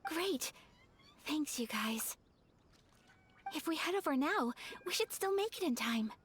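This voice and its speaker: A graceful young noblewoman in a game, dutiful and reserved, with a slightly high, soft and courteous voice. She chooses her words with care and never rushes. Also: animated, a little hiss or hum. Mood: resolved. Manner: soft-spoken, polite and measured.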